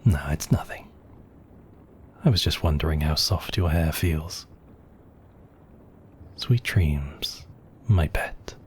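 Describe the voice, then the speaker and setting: Man playing a deep, slow, brooding vampire count. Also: slight background noise. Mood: soothing, affectionate. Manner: slow, hushed, intimate murmur with whispery moments. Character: brooding, possessive, tender male vampire count. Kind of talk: romantic ASMR roleplay.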